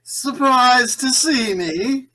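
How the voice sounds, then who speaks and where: A man playing a suave, pompous escaped-convict crime boss in a 1940s radio drama, drawling with mock courtesy in a husky voice. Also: extremely high pitch, drawn-out words, bright, forceful tone, exaggerated voice, rough recording. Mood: gloating. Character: suave, theatrical, gloating male escaped-convict villain and gang boss.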